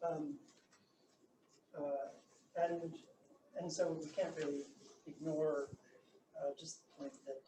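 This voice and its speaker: A soft-spoken man with a New Zealand accent, giving a formal submission to a council hearing with many fillers and restarts. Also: fairly high pitch, flat delivery, takes it slowly, pauses often. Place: council chamber. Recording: echoey room, distant mic, noisy.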